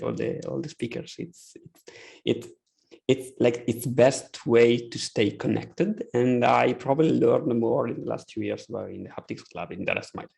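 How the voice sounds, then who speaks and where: A man's voice: medium pitch, gravelly, darkish tone. A man with an Italian accent, a podcast co-host chatting casually on a video call, joking and restarting his sentences often. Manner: joking, halting, many restarts.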